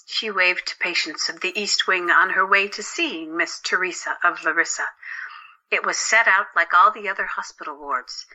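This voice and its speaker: A woman's voice: on the low side, moderate pace, pushed and bright, low-quality recording. A woman narrating a scripted story for an audio drama.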